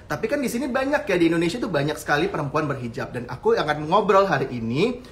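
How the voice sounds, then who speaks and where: A man hosts a live chat about fashion, his voice sitting a little high for a man. He talks in an upbeat, rambling way, drawing out words and leaning on fillers. He sounds like he is on a video call. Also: very animated, a little hiss or hum. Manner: chatty, upbeat, drawn-out phrasing with fillers. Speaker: host, fashion and beauty editor. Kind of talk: casual live-stream chat.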